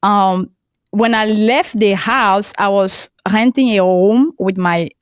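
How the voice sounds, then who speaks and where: A young woman from Cameroon, a French speaker with a Cameroonian accent, talking as a podcast guest in a halting way and laughing often. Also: medium pitch, no echo, studio quality. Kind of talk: casual interview talk.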